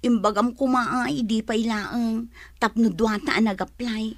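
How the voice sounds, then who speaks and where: An actress playing an earnest, soft-spoken young pregnant woman in a radio drama. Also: fairly high pitch, fairly even intonation, normal speed, no echo. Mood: confiding.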